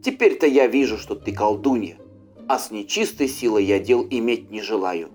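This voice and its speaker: A man's voice: on the low side, normal speed, darkish tone, soft background music, natural voice. A man voicing a young fairy-tale traveller, suspicious and mocking, who speaks in blunt, scornful exclamations. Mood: defiant.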